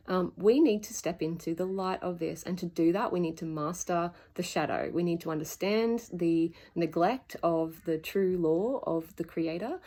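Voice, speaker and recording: A woman's voice: lowish voice, dark tone. A woman talking alone about healing in an earnest, meandering way, often searching for words. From Solomon Islands. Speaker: spiritual healing channel host. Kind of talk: reflective solo monologue. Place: outdoors at dusk.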